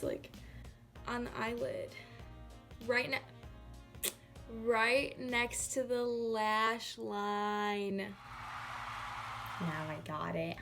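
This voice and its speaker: A chatty woman walks through her makeup routine at home in a loose, rambling way and pokes fun at herself as she goes. Her voice sits at an ordinary middle pitch, with music playing behind her. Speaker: beauty vlogger. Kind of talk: casual makeup tutorial.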